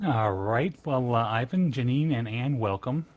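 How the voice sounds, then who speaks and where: A man's voice: mid-range voice, a little slow, darkish tone, no echo. A man politely putting audience questions to the guests in a panel Q and A.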